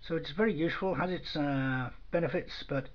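A man's voice: a little high, fairly quick. Guy demoing stuff at a workbench, dry and matter of fact, dull camera audio with noise. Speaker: product demonstrator. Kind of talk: informal hands-on tool demonstration. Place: indoors at a workbench. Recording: dull lo-fi sound with clear noise.

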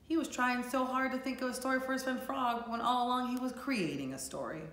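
A woman's voice: fairly high pitch, a little fast, fairly bright tone. A woman reading a children's storybook aloud like a teacher, expressively and speaking directly to young listeners. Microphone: camera or phone a few feet away. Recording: echoey room.

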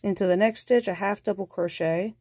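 A woman's voice: mid-range voice, a little fast, slightly rough, no room sound, studio quality. Nasal woman with a cold teaching crochet. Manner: calm, patient, counts stitches aloud. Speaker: crochet instructor. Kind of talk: step-by-step craft tutorial.

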